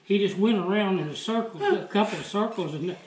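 A man's voice: high voice, fast. An older man telling family stories in a rambling, folksy way at his home kitchen counter. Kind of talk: casual family storytelling. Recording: roomy kitchen with background noise.

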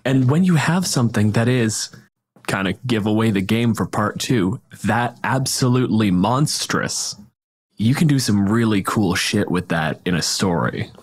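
A man's voice: on the low side, animated, a little slow. A man from Canada co-hosting a podcast, moving between discussion and read-out descriptions. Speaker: podcast co-host, physicist. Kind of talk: podcast discussion and scripted reading.

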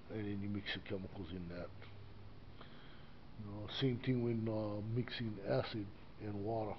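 An older man from Hawaii, rambling with many fillers as he shows the church he cleans. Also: medium pitch. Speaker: church custodian vlogger. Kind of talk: casual walkthrough commentary. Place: inside a small church building. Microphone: body-worn action camera. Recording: dull lo-fi camera audio with faint noise.

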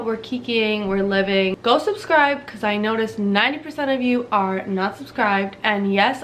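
A woman's voice: mid-range voice, slight background noise. A woman in her twenties from Canada, chatting casually to camera in a bubbly, slangy way in a small dim room. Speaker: beauty vlogger.

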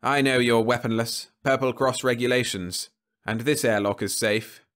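A cold, gloating alien man, smug and precise, threatening in slow measured phrases. A male narrator puts him on, pushing his voice a little higher and louder than his own. It is an audiobook reading. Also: fairly even intonation, natural voice. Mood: cold triumph. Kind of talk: audiobook character voice.

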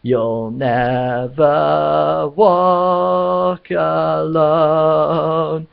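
A man's voice: medium pitch, normal speed, slightly rough, narrow, tinny audio. A man voicing a cheery, chummy male ship's computer that whines nasally when it sings. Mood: crooning. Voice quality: nasal, whining when singing. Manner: chummy, calls everyone guys. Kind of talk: audiobook reading.

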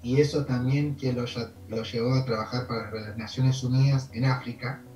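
A man's voice: mid-range voice, echoey room, highs cut off, soft background music. French-Canadian man with an Argentine accent. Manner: relaxed, rambling storytelling with pauses and fillers. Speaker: immigration consultant. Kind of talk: interview over video call.

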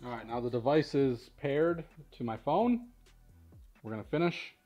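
A man's voice: medium pitch, animated, moderate pace, dark tone, lo-fi sound, soft background music. A middle-aged man giving a casual, matter-of-fact walkthrough in an informal way, recorded indoors in a workshop. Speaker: product demonstrator at a powersports tuning shop. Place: large indoor vehicle workshop.

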